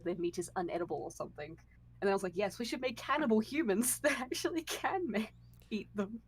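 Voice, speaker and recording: A woman in a casual podcast chat, speaking as a guest at a medium pitch. Her delivery is halting and giggly, with sentences that restart as she explains things. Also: animated, a little hiss or hum. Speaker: podcast guest, animated series creator.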